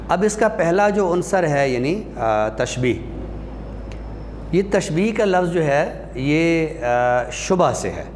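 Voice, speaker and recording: A man giving an explanatory class lecture in a deliberate manner, pausing and posing questions that he then answers. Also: on the high side, a little hiss or hum. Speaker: lecturer teaching Urdu rhetoric.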